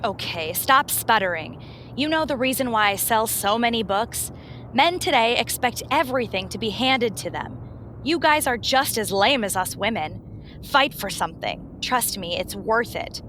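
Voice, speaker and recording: A woman's voice: a little high, expressive, normal speed, a bit bright, slight background noise. A woman playing a sassy, exasperated romance novelist in a romantic comedy radio play, sarcastic and emphatic. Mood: exasperated, confrontational.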